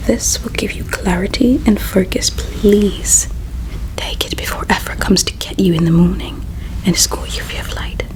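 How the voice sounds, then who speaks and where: A woman voicing a gentle, melancholy alien palace servant in an ASMR roleplay, speaking in a hushed, halting, confiding way. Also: mid-range voice, close to an everyday voice, poor audio. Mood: secretive, tender. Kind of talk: ASMR roleplay, soft whispering.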